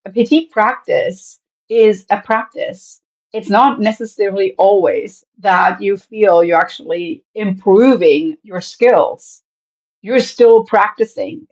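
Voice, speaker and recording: A woman from Germany with a German accent, speaking as an animated podcast guest who laughs often and lets her pitch swing widely. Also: muffled recording. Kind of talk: casual interview talk.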